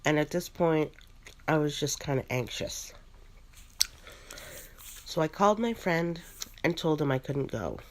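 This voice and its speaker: A woman chewing gum as she narrates a first-person ghost story in an ASMR style, pausing between short phrases. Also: low voice, expressive. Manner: chews gum while narrating.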